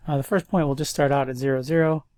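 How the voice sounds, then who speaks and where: A man's voice: mid-range voice, quick pace, no echo. A man with a stuffy nose, casually thinking aloud as he walks through a coding tutorial. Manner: thinks aloud, relaxed and chatty. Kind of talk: casual screen-recorded coding walkthrough.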